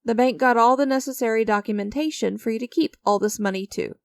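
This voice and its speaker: A woman's voice: on the high side, fast, vocal fry, dry recording, clean studio sound. A woman playing an enigmatic, creepy stranger who strikes sinister deals in a dramatic audio roleplay, teasing and theatrical with a smug, coaxing manner. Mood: smug, playful.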